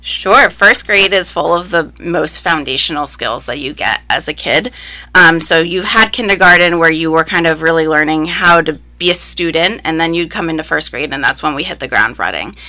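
A woman's voice: sits low, moderate pace, sharp tone, rough recording. A young woman teacher answering interview questions in a casual, upbeat way, with frequent ums and likes, raised speaking both Spanish and English. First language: Spanish, alongside English. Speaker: first grade teacher, interviewee.

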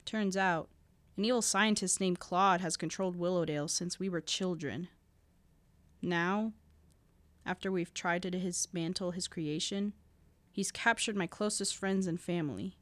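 A woman playing a determined, earnest young heroine in an audio drama, with an intense, deliberate delivery. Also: fairly low pitch, fairly even intonation, no room sound, lightly acted. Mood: solemn narration. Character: determined, earnest young heroine fighting to save her family. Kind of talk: audio drama acting with narration. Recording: recording with faint background noise.

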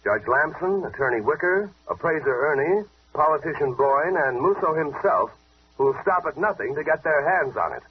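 A brainy young detective played by a man in a vintage radio mystery. He speaks crisply in a lively, slightly high voice, over a dull old recording. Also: moderate pace, background noise, natural voice. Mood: urgent warning. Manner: crisp, deductive, explanatory. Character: keen, brainy young male detective, inspector's son. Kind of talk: 1940s radio acting.

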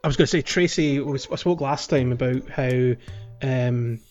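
A man's voice: mid-range voice, normal speed, a little mellow, soft background music. Rambling chatty man, slightly dull audio. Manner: rambling, heavy on fillers and laughs. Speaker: podcast co-host. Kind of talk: casual chat about a video game playthrough.

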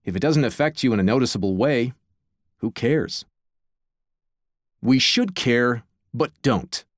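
A man narrates a nonfiction audiobook with a lively, rising-and-falling delivery. He reads in a measured, theatrical way. The studio sound is close and dry. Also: medium pitch, dry recording. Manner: measured, theatrical reading with asides.

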